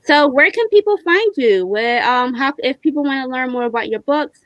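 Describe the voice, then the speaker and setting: A woman's voice: high-pitched, animated, normal speed, fairly bright tone, no echo, highs cut off. A woman hosting a casual livestream in an enthusiastic, appreciative way. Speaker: host.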